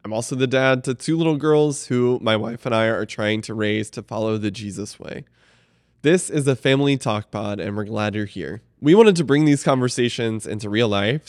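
A man in his thirties hosts with a scripted introduction. His voice sits at an average male pitch, and the recording is dry, with very little room sound. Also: no room sound. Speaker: host, church community engagement coordinator.

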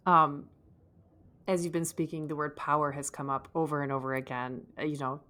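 A woman interviewing on a podcast, putting thoughtful questions together in careful, measured short phrases. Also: low-pitched, level tone, bright, forceful tone.

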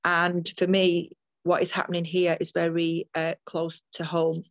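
A woman's voice: fairly low pitch, monotone, a little slow, no echo, lo-fi sound. An older woman from the UK, a midwife, talking earnestly about her work as a guest in an interview, with frequent ums and restarts. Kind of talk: interview over a call.

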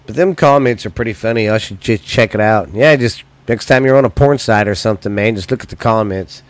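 A man's voice: fairly low pitch, fairly quick, dry recording. A man with an American accent hosting a solo podcast in casual, crude comic chat, blunt and loose, his voice slightly weak and stuffy. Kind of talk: casual, profane comedy chat.

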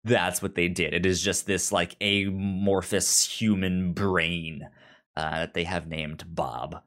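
A man co-hosts a casual podcast chat, retelling a story at a slow pace. His voice sits a little low, and his delivery is halting, with word starts often repeated. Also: flat delivery, fairly bright tone. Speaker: podcast co-host.

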